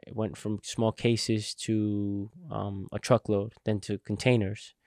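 A man's voice: fairly low pitch, slow pace, no echo, clean studio sound. A man in his twenties, a guest from New Jersey, steadily telling his business story in an interview, recorded on a podcast mic in a warehouse set. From New Jersey, United States. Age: 20s. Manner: earnest, steady storytelling with fillers. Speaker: guest, logistics entrepreneur. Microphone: boom-mounted podcast mic.

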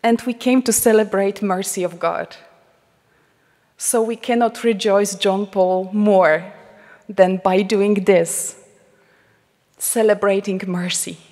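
A religious sister with a Polish accent giving a heartfelt talk to a congregation, slowing to a hushed tone when she prays. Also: mid-range voice, fairly even intonation. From Poland. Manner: slow, heartfelt, drops to a hushed near-whisper in prayer. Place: large church shrine. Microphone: headset mic. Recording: long church reverb.

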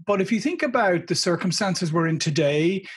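A man's voice: sits high, lively intonation, normal speed, husky. A man, hosting a video-call interview, chatty and loose, often rephrasing himself, into a desk mic. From Ireland. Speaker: host. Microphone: desk microphone.

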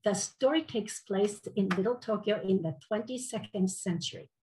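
An older woman, a native Japanese speaker with a Japanese accent, giving a prepared formal speech as a contest judge in a measured way. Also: mid-range voice, a little mellow, a little hiss or hum, poor audio. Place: indoors on a video call, virtual backdrop.

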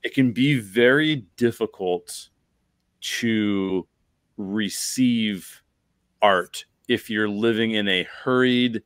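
A man's voice: lowish voice, takes it slowly, fairly bright tone, no room sound. A middle-aged man hosting a conversational podcast, explaining film craft in an emphatic way, close on a microphone. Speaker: podcast host. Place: bedroom, video call.

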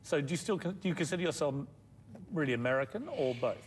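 Teasing TV host, lively. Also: male voice, a little high, fast, a little hiss or hum. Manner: teasing, playful banter. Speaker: talk show host. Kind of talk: chatty TV interview. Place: TV studio set with leather armchairs. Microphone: lapel mic.

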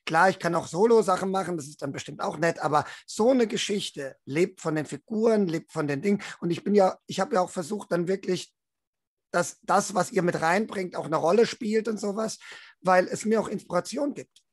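A man's voice: high-pitched, moderate pace, no echo, studio quality. A man working as a game master, casually explaining his approach to the others on a group call. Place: indoors on a group video call.

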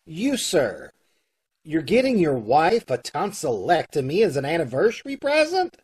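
A man playing a husky, flustered loan officer. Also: fairly high pitch, wildly swooping pitch, moderate pace, full character voice. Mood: bewildered. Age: middle-aged. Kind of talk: old-time radio comedy acting. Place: indoors, on a video call. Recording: home video-call recording.

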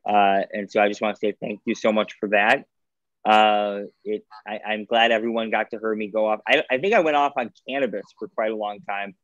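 Casting: low-voiced male legislator, earnest and rambling, dry muffled call audio. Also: steady tone, brisk, fairly bright tone, no echo. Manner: earnest, self-aware, rambling. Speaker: state senator. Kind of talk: virtual town hall discussion. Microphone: video call.

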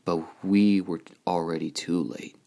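A man performs a giant robot leader of alien warriors from an action movie. He is noble and solemn, declaiming in a lowish voice at a very slow, measured pace. Each phrase comes out grave and weighty. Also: fairly even intonation, vocal fry, warm tone. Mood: solemn, epic. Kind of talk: movie monologue in amateur voice acting.

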